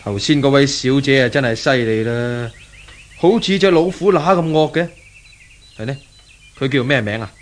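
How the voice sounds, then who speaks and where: A man's voice: mid-range voice, normal speed, long pauses, lightly acted. A man acting in a 1970s Cantonese radio drama as a sympathetic, cautious passerby, speaking politely with a Hong Kong accent. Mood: concerned. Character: courteous, cautious male passerby, sympathetic and helpful.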